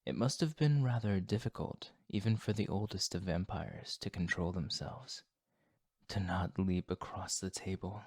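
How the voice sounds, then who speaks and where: A man's voice: low voice, normal speed, no echo, clean studio sound. An actor playing a courteous, anxious young male vampire who speaks formally in an ASMR roleplay. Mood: ominous, hungry. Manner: formal, polite, hushed and breathy asides, sighs. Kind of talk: ASMR roleplay, scripted monologue to the listener.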